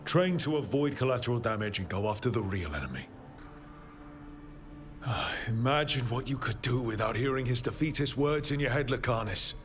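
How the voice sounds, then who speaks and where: A steadfast leader in a fantasy game drama, voiced by a man. He speaks at an average pitch, earnest and persuasive, reassuring a companion, with music faintly behind him. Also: lively intonation, moderate pace, fairly bright tone, close to an everyday voice. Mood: firm, urging. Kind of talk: dramatic video game acting.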